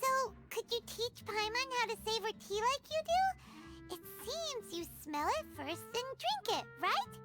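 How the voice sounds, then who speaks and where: A woman voicing a tiny, excitable girl companion in a video game, squeaky and eager, speaking over music. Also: measured pace, exaggerated voice. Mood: eager wonder. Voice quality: squeaky, childlike. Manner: speaks of self in third person. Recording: loud music behind.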